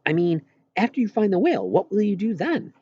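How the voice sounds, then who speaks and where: Man voicing an earnest, eager stargazing boy, slightly husky. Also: high voice, big pitch swings, fairly quick, warm tone, no echo, muffled recording, voice pushed up, exaggerated voice. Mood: surprised, curious. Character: earnest boy, keen stargazer, quietly wistful. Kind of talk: audiobook character dialogue.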